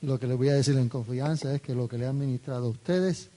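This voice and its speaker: Man, sermon interpreter, warm mellow voice, steady phrasing. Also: mid-range voice, quick pace. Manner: steady, follows the preacher phrase by phrase. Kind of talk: live sermon interpretation into Spanish.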